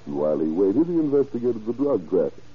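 An actor playing a shrewd, smooth-talking crime boss in 1940s radio drama, with a deep husky voice and a sly, mock-genial manner. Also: male voice, big pitch swings, quick pace. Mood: sly, boastful. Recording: muffled old radio recording.

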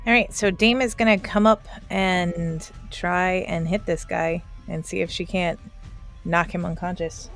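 A woman playing a tabletop game, calmly explaining her character's actions. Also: fairly low pitch, a little slow, soft background music. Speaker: player. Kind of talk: casual tabletop play.

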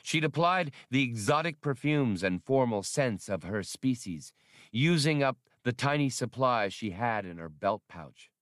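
A man's voice: medium pitch, lively intonation, a little slow, a bit bright, no room sound, studio quality. A man reading a novel aloud as an audiobook narrator, in a scripted storytelling style.

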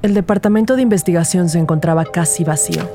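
A woman's voice: on the low side, quick pace, mellow, soft background music, lightly acted. A woman playing an earnest rookie detective who narrates her case in a scripted audio drama. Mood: measured, narrating. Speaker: narrator and lead character.

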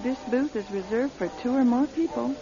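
A woman's voice: fairly high pitch, moderate pace, warm tone, close to an everyday voice. A woman playing a rule-bound small-town diner waitress in a radio drama, speaking plainly and colloquially. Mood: matter-of-fact. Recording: dull radio drama recording.

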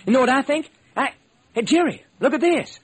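A man's voice: very high-pitched, animated, a little fast, breathy edge, heavily acted. An actor playing a plain-spoken, skeptical male police lieutenant in a classic radio crime drama. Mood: skeptical, excited.

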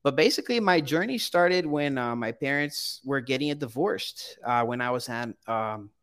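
A man's voice: mid-range voice, normal speed, smooth. Laughing man from Brazil. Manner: animated, laughs often, fillers. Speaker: guest, counseling student. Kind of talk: casual interview.

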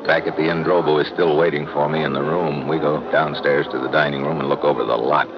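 An actor playing a laconic, wry American secret agent in an old-time radio drama. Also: male voice, a bass voice, little pitch movement, fast, crowd noise. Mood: cool, businesslike. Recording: muffled, noisy old radio recording.

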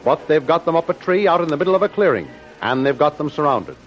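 A man's voice: a little high, lively intonation, fast talker. A male announcer delivering emphatic, declamatory copy in the style of a 1930s radio serial. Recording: dull band-limited vintage radio recording. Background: faint music.

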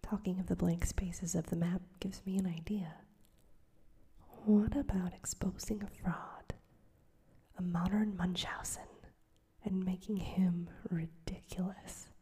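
A woman voicing a crabbed old male newspaper editor, gruff but kindly, in a breathy whisper. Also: on the low side, fairly even intonation, unhurried, mellow, exaggerated voice.

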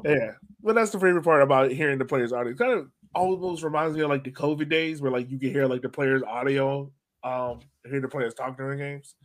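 A man's voice: mid-range voice, fairly quick, hardly any pauses. A man hosting a soccer fan podcast in casual chat, informal and slangy.

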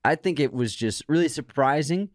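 A man's voice: fairly high pitch, lively intonation, moderate pace, no echo, clean studio sound. A man co-hosting a podcast, chatting eagerly about movie trailers and adding facts. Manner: enthusiastic, adds facts and reactions. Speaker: podcast co-host. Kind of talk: casual movie chat.

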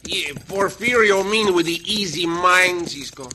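A man's voice: high-pitched, measured pace, crowd noise. A man playing a proud, contrary older Mexican uncle in an old Western radio comedy, self-important and speaking broken English. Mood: needling. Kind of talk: 1940s-50s Western radio comedy acting. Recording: noisy old radio recording.

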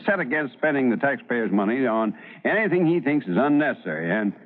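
An American man playing a determined, crusading small-town newspaper editor in an old-time radio drama, earnest and forceful, given to speeches. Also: medium pitch, lively intonation, brisk, natural voice. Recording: muffled vintage radio recording.

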